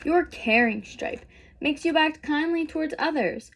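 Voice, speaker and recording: A woman voicing a loving mother zebra in a picture book, gentle, reassuring and encouraging. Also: high-pitched, measured pace, gravelly, pitched above the performer's own voice.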